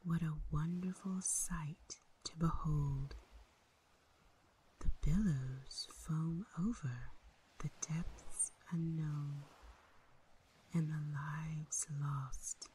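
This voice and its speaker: A woman reads a relaxing poem in a soft, whispery voice, calm and measured, with long pauses. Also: low-pitched, slight background noise, poor audio. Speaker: poetry reader. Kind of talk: slow relaxing poem recital, soft and breathy.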